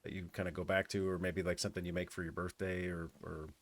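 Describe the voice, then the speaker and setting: Deep-voiced relaxed male host. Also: fast talker. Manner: relaxed, stammering restarts. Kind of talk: casual chat.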